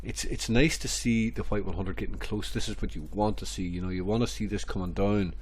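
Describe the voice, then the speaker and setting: A man, hoarse and stuffy from a cold, rambling through crypto chart analysis with many repeats and fillers. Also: on the low side, fairly even intonation, brisk, slight background noise. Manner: rambling, repeats phrases, many ums and restarts. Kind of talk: casual screen-share market commentary.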